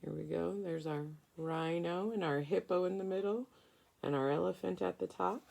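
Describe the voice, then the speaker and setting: A woman's voice: on the low side, normal speed, creaky, a little mellow. A woman teaching through a storybook read-aloud, guiding children and prompting them with questions.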